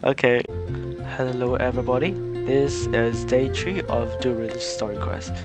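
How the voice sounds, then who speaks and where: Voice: mid-range voice, fairly even intonation, a little slow. Sick, sore-throated game streamer. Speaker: streamer recording a gameplay video. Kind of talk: casual gameplay commentary. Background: game music and dialogue.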